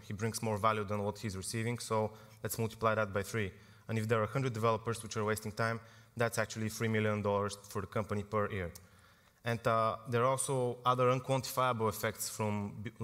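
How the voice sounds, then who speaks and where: A man's voice: lowish voice, fairly bright tone. Man from Bulgaria giving a tech talk. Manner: brisk, informal, with frequent fillers and self-corrections. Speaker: conference speaker. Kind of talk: technical conference talk. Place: large conference hall. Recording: long hall reverb.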